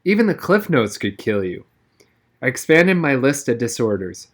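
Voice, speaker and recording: A man reading a novel aloud as an expressive narrator, with wry first-person storytelling. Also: medium pitch, normal speed. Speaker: reader, narrator. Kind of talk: expressive audiobook-style read-aloud of a novel.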